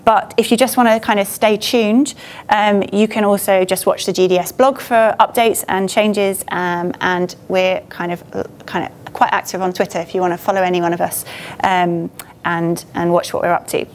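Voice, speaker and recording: A woman's voice: medium pitch, steady tone, normal speed, slight background noise. A woman speaking informally as a presenter on an auditorium stage, conversational and a little hesitant, with loose phrasing. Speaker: conference speaker, government design team member. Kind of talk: informal presentation to a meetup audience.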